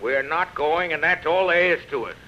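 A man's voice: fairly high pitch, normal speed, bright, forceful tone. An actor playing a brash, boastful, bullying businessman in 1930s radio drama, with a slangy, barking manner. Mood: angry. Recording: dull, noisy old radio recording.

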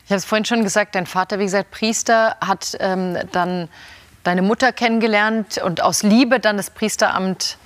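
A woman's voice: medium pitch, steady tone, moderate pace, no room sound. A woman in her fifties hosting a TV talk show, asking friendly questions and nodding along with frequent tag questions. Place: TV studio set.

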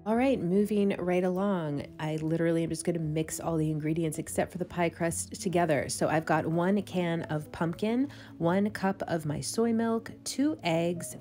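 A woman's voice: fairly low pitch, mellow. A woman in her thirties chatting casually in a home cooking vlog, walking through recipes step by step. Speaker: vlogger. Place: home kitchen, then a room with bookshelves.

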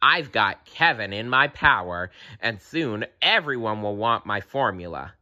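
A man's voice: on the high side, very animated, moderate pace, pushed and bright, dull audio, pitched above the performer's own voice. A man voicing a nasty, cold-hearted mad scientist in a children's story, with an icy, gloating, sneering manner.

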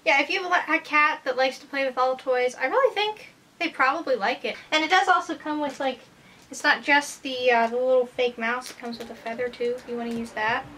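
A young woman co-hosting a casual product review at a home desk, her voice a little raspy from just waking up, chatty with many fillers. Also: a little fast, sharp tone. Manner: chatty, mock infomercial pitch, many fillers. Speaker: co-host.

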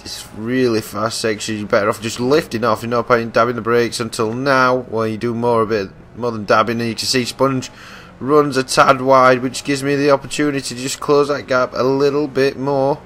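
A man giving chatty live commentary on a racing game, his voice croaky and hoarse as he loses it, often restarting his sentences. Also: mid-range voice, level tone, moderate pace, fairly bright tone, a little hiss or hum.

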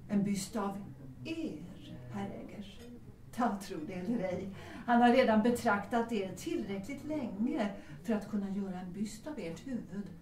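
A woman's voice: medium pitch, a little mellow, natural voice. Woman acting posh unsentimental widow lady in a 1930s audio drama, very formal, bit distant and roomy. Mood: determined. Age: middle-aged. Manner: formal, dignified, matter-of-fact. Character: proud, unsentimental widowed lady of good family, 1932 Vienna.

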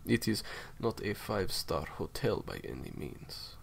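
A man acts out a menacing gentleman villain in a roleplay drama. His voice is low, crackling with fry and sweeping in intonation as he delivers courteous, formal threats. There is faint noise behind him. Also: big pitch swings, heavily acted. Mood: quietly threatening. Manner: formal, unhurried, theatrically polite threats. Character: menacing, courteous male villain, cold captor. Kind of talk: roleplay audio drama monologue.

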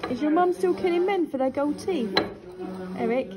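A woman ghost hunter, coaxing a spirit in a dark church at night and repeating her questions. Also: sits high, expressive, moderate pace, a crowd in the background. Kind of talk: calling out to a spirit.

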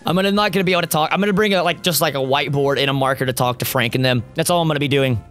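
An excitable young man narrates his playthrough in a slightly high, raspy, worn voice. He talks close to a studio mic at a home gaming setup and cheers his team on loudly. Faint game music plays behind him. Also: rapid-fire. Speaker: gaming YouTuber. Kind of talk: Let's Play commentary. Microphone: studio mic close up, seen.

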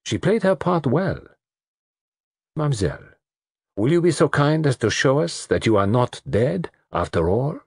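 A commanding detective with a French accent. He is deliberate, precise and theatrical, his husky voice lifting and falling widely, recorded very dry, in storybook style. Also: mid-range voice, very animated, a little mellow, dry recording, pitched above the performer's own voice. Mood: triumphant, precise.

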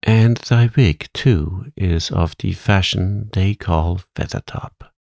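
A man's voice: takes it slowly, creaky, no echo, clean studio sound, exaggerated voice. A man doing a doting old witch. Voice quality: high, sharp tone. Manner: coaxing, old-fashioned thou-and-thee speech. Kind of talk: audiobook character reading.

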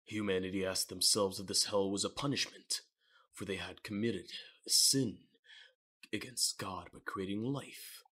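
Male narrator for manga audio drama, low register, rough-edged husky tone, dramatic scripted read. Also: steady tone, a little slow, pushed and bright.

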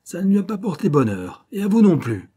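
A man's voice: fairly low pitch, steady tone, measured pace, husky. Man voicing a sneering brutal SS officer. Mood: raging. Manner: shouting, contemptuous, mocking.

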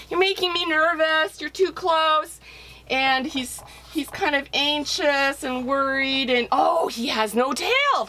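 A woman's voice: high voice, sharp tone. A middle-aged woman giving an improvised drawing lesson for children, thinking aloud in a playful, encouraging way as she draws. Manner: playful, encouraging, talking in bursts while drawing. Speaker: children's author-illustrator giving a drawing demonstration.

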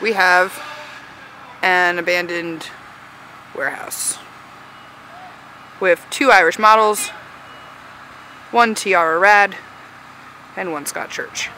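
A woman's voice: fairly low pitch, steady tone, slow, pauses often, bright, forceful tone, a little hiss or hum, poor audio. A woman hosting a casual, joking vlog from behind a handheld camera outdoors. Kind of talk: casual vlog narration and banter. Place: outdoors at an abandoned industrial site.

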